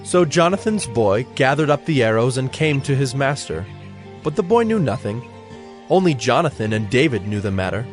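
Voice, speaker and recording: A man's voice: mid-range voice, very animated, normal speed, faint music behind. A man narrating a dramatized Bible story from a script.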